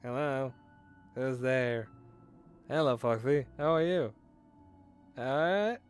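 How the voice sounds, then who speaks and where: A man's voice: mid-range voice, takes it slowly, smooth, soft background music. A young man streaming a horror game, reading its text aloud and bantering with chat, swearing and laughing as he goes, on a headset mic in a small room.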